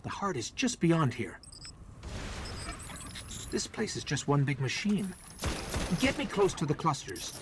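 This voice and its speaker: A man voicing a small, earnest, curious robot companion in a video game, with an expressive, urgent delivery. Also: a little high, a little slow, long pauses, noisy background. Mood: uneasy wonder, then urgent, eager. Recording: game music behind the voice. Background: video game soundtrack.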